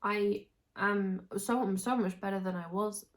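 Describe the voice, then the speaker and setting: A young woman from England hosting a knitting podcast, chatting casually while she sounds nasal and hoarse from a recent illness, with a rambling, restarting way of talking. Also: medium pitch, fairly even intonation, measured pace. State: hoarse, congested, recovering from illness. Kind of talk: casual chat to camera.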